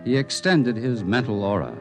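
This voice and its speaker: A man narrating a vintage radio science fiction drama in a measured, scripted storytelling manner. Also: medium pitch, animated, lightly acted. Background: clear music.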